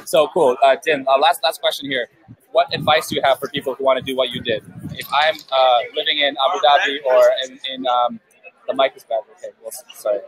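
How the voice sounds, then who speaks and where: A man's voice: mid-range voice, fairly even intonation, a little fast, a bit bright, slightly rough, low-quality recording. A man hosting a casual live-stream interview at a busy event, talking loosely with many fillers through a headphone mic. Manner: chatty, loose, apologetic, full of fillers. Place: busy outdoor event beer tent.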